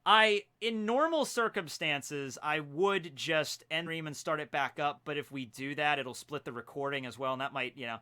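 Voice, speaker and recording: Brief: twenties male streamer, bright and clean tone, chatty, talks through what he is doing. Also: a little high, normal speed, hardly any pauses. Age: 20s. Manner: chatty, self-narrating while fixing stream problems. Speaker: stream host. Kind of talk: casual live-stream chat. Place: home room.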